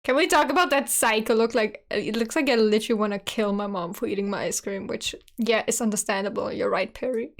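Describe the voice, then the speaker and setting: A woman's voice: mid-range voice, brisk. A woman in her twenties with a German accent, chatting casually as a streamer reacting to her old video, full of "like" and frequent laughs. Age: 20s. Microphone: USB studio microphone.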